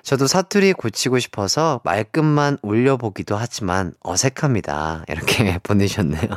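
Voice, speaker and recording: A man's voice: on the low side, lively intonation, moderate pace, no echo. A man hosting a radio show in a studio. He speaks in a friendly, polite and upbeat way as he reads out listener messages and greets his guests. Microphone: studio microphone.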